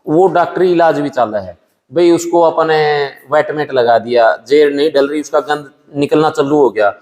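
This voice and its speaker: Haryanvi farmer with reverberant sound, higher-pitched voice. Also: male voice, normal speed, a little mellow. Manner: plain-spoken, colloquial, often addresses listener as brother. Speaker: dairy farmer and buffalo breeder. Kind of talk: casual interview giving practical farming advice.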